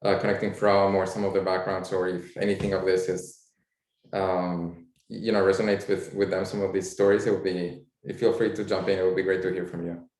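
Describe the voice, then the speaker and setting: Low-voiced Spanish-accented man, echoey room. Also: little pitch movement, a little fast, a little mellow. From Spain. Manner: hesitant, many "uh" fillers. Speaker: panelist. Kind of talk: casual introduction on a video call panel.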